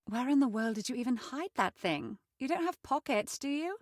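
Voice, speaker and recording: A woman's voice: sits high, lively intonation, moderate pace, no room sound, clean studio sound. A woman playing a refined, haughty young lady of a mansion in a game, speaking in a prim, wry, articulate way.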